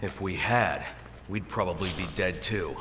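A man's voice: low-pitched, a little slow. An American man playing a gritty, weary soldier in a dramatic video game cutscene. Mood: grim. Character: gritty, weary male soldier on a bioterror mission. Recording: loud noisy action-scene background.

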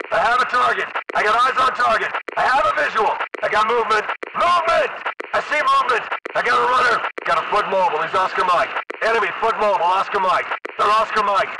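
Game combat soldier, high-pitched, forceful, clipped, distant, very loud background noise. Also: male voice, brisk. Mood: tense, aggressive. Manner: forceful, clipped call-outs. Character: aggressive elite mercenary soldier in combat. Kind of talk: video game battle chatter. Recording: very noisy battlefield radio, distant voice.

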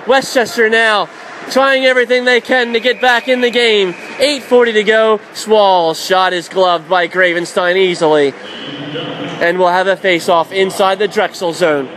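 A man doing radio play-by-play of a college hockey game, calling plays quickly and shouting at the big moments. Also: high-pitched, fairly even intonation. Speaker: play-by-play announcer. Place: college ice arena. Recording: noisy background.

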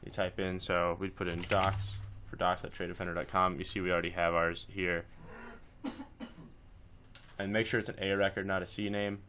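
A man's voice: sits low, level tone, moderate pace, noisy background. A man presenting a casual screencast tutorial, talking informally through each step as he instructs.

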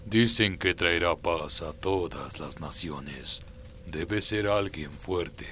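A man's voice: sits low, slow pace, sharp tone, full character voice. A man playing a scheming demon henchman in a radio play, with faint noise behind the voice.

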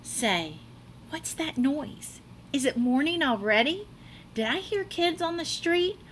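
A middle-aged woman reads a children's storybook aloud in a bright voice with very lively, swooping intonation. She takes her time, stretching words for dramatic effect. A clear layer of room noise sits behind her, as on a webcam microphone in a classroom. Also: sits high. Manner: animated, dramatic delivery with drawn-out words. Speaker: teacher reading a picture book aloud. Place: small classroom, indoors.

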